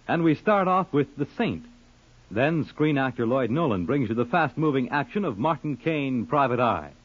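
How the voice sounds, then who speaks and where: Man, 1950s radio announcer, husky, dramatic scripted promo, medium pitch, muffled old recording. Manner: formal, dramatic.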